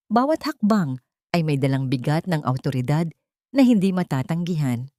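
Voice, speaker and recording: Mellow Filipino woman narrating with lively pitch. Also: no echo, studio quality. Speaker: storyteller. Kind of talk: dramatic scripted audio story narration.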